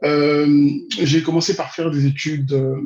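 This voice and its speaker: High-voiced man in an echoey room. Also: little pitch movement, a little mellow. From Congo-Brazzaville. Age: 40s. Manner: hesitant, measured, with long drawn-out pauses. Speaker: interview guest, immigration consultant and teacher. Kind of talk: conversational interview answers. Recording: dull, roomy, echoing sound.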